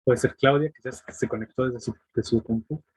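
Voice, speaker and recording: A man's voice: mid-range voice, steady tone, fairly quick, darkish tone, slightly rough, dry recording, poor audio. A man moderating a group video call, giving brief, friendly prompts and thanks. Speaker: group facilitator.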